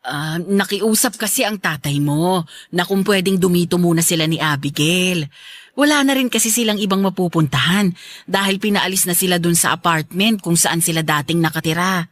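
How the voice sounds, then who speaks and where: Lowish-voiced Filipino mother. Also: moderate pace, fairly bright tone. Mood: apologetic, explaining. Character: forgiving mother who scolds her grown daughter. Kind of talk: Filipino radio drama acting.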